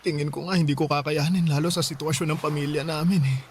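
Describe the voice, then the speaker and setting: An actor playing a gentle, self-sacrificing young student boyfriend, earnest and sorrowful, in a Tagalog radio drama. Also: male voice, fairly high pitch, steady tone, fast talker, slight background noise, natural voice. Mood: sad, resigned. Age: young adult. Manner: soft-hearted, earnest, sorrowful.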